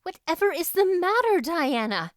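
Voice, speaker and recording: A woman voicing a confident, talkative young girl heroine in an audiobook, brisk and earnest. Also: very high-pitched, sing-song, dry recording, clean studio sound, pitched above the performer's own voice. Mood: startled concern. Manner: brisk, earnest, take-charge. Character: confident, capable, talkative eleven-year-old girl heroine.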